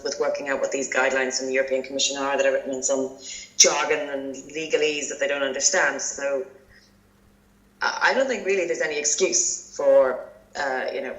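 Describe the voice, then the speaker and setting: Irish female interview guest, low pitch, conversational explainer, roomy echoing recording. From Ireland. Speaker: interviewed journalist, podcast guest. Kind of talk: informal expert interview.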